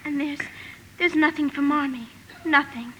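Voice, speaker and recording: An actress playing a gentle, shy, tender-hearted little sister in a period drama, hesitant and earnest. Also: female voice, high voice, fairly even intonation, normal speed, a little hiss or hum, low-quality recording. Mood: worried. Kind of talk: period radio drama acting.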